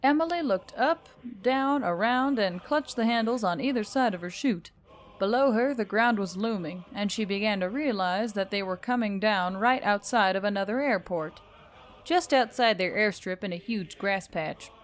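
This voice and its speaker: A woman's voice: mid-range voice, expressive, normal speed, slight background noise. A woman reading scripted fiction as a storyteller, in a dramatic narrating style.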